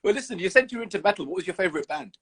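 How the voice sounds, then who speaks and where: Cheeky, swearing host. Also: male voice, high-pitched, lively intonation, racing, fairly bright tone. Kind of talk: casual interview chat.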